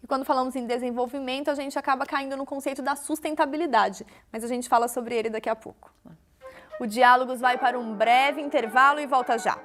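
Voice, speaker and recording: A woman's voice: high voice, steady tone, brisk, a bit bright, soft background music. A woman with a Brazilian Portuguese accent hosting a television interview, presenting and putting questions in an articulate, scripted style.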